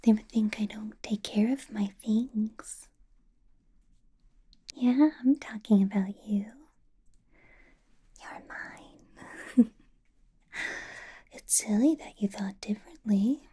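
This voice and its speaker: Woman doing a possessive vampire boss lady, slow breathy whisper, doting and bossy, asmr roleplay. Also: medium pitch, mellow. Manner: slow, teasing, intimate personal-attention delivery.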